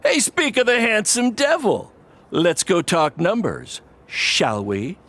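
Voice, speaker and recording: A man's voice: high-pitched, huge pitch swings, slow pace, a bit bright, exaggerated voice. An actor playing a brash, boastful middle-aged wrestling promoter in a video game, with a hammy salesman manner. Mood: boastful, pitching. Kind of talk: video game cutscene acting.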